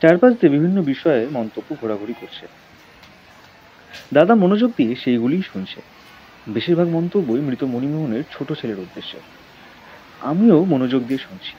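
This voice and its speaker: A man's voice: on the high side, sing-song, a little fast, long pauses, dull audio, slight background noise. A man narrating a scripted audio story in a dramatic storytelling style.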